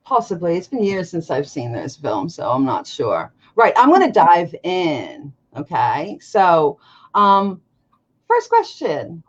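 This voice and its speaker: A woman's voice: fairly low pitch, slow pace, breathy edge. A woman in her forties, nasal and congested from allergies, chatting and laughing often as she hosts a casual livestream interview. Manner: chatty, laughs often, quotes others with lively voices. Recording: dull lo-fi stream audio.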